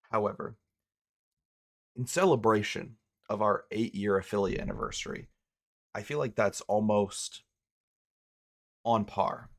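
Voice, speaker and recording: A young man with a raspy voice from being sick, chatting casually as a streamer, rambling and poking fun at himself. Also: lowish voice. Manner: rambling, self-mocking, restarts phrases. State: hoarse from illness.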